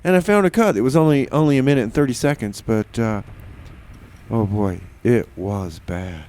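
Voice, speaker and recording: A man's voice: mid-range voice, normal speed, darkish tone. A man hosting a community radio music show, talking casually in a rambling, hesitant way with many fillers and restarts. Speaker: radio DJ host.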